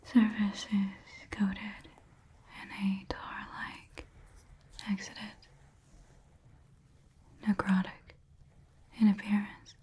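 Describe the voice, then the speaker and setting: A woman doing an ASMR roleplay, speaking very softly with a measured, detached, precise scientific delivery. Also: mid-range voice, completely flat delivery. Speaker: ASMR performer. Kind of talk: ASMR roleplay, soft whispered clinical observation.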